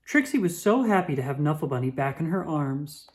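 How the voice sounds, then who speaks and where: Low-voiced woman reading a picture book, very animated. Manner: animated, dramatic emphasis with drawn-out words. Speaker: children's book reader. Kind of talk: expressive picture-book read-aloud.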